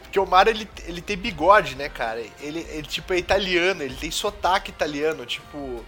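A man's voice: fairly high pitch, animated, a little fast, bright, forceful tone, faint music behind. A man with a Brazilian accent bantering in casual slang as a jokey show co-host. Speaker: podcast co-host.

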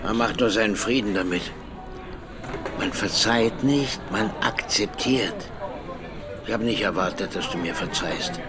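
An actor playing an ageing absent father in an audio drama, weary, guarded and unrepentant, with a grave, measured delivery. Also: on the low side, music in the background, natural voice. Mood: grave, resigned. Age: older.

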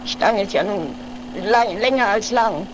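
A woman's voice: mid-range voice, lively intonation, unhurried, lightly acted. A woman playing a nervous, devoted companion of a daredevil in an improvised comic radio play, muttering dramatically and repeating words. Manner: dramatic, repetitive, agitated muttering. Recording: noisy home-made 1980s radio play recording.

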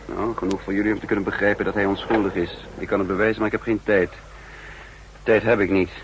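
A cool, commanding male spymaster, acted by a man in 1960s radio drama style. The voice is on the low side and deliberate, explaining plans with assurance. The old broadcast recording carries slight noise. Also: flat delivery, lightly acted. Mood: brisk, commanding.

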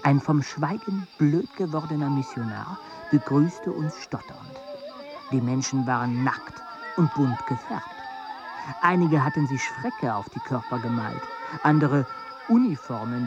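An actor voicing a male companion who narrates past expedition events in a measured way, in a radio play. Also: mid-range voice, lively intonation, lo-fi sound, music in the background. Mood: calm.

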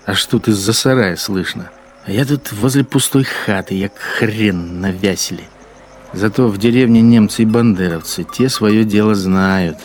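An actor playing a crude, grumbling village collaborator in a radio drama, talking in folksy dialect-sprinkled phrases. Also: male voice, on the low side, normal speed, a little hiss or hum, lightly acted. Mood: sly, grumbling. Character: grumbling crude village collaborator policeman, a punitive squad man.

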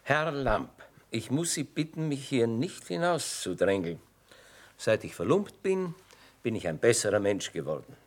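Radio play: down-at-heel chaplain, solemn, dignified, very animated intonation. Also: male voice, medium pitch, unhurried. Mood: indignant, pious. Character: shabby down-at-heel army chaplain, dignified, preachy, protective. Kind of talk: German radio play acting.

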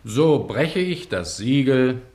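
An actor playing a stern, dignified Venetian judge in a German radio drama, measured and authoritative. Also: male voice, mid-range voice, animated, a little mellow, slight background noise. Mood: solemn reading.

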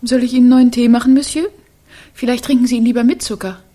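Actress as husky, coy young-spirited housemaid. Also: female voice, a little high, fairly even intonation, fast talker, warm tone, close to an everyday voice. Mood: coy, calm. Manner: deferential, coy, secretive. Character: coy, soothing, protective housemaid in 1917 Paris. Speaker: actress in a radio crime drama. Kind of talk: German radio play acting.

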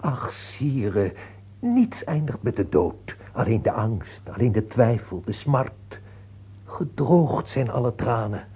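An actor in a radio play playing a stern, devout priest, solemn and exhorting. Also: male voice, mid-range voice, very animated, slow, breathy edge, exaggerated voice. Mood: fervent. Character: stern devout male priest counselling a dying king. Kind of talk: Dutch radio play acting. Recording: muffled, tinny old radio recording.

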